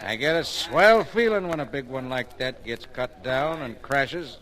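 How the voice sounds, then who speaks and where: An actor playing a smug, taunting, domineering American lumber mill boss in a 1940s radio drama. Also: male voice, medium pitch, lively intonation, unhurried, a bit bright.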